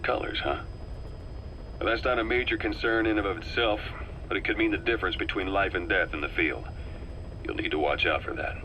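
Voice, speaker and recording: An actor playing a calm, middle-aged spy and military advisor in a video game, speaking in a measured, knowing way with deliberate pauses. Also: male voice, low voice, slight background noise, poor audio. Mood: attentive, matter-of-fact. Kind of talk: dramatic scripted briefing and dialogue in a video game.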